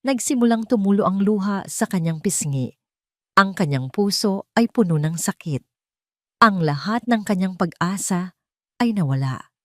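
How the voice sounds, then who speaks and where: A woman's voice: mid-range voice, lively intonation, normal speed, dry recording, clean studio sound. A woman narrating a scripted, melodramatic love story. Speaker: audio drama narrator.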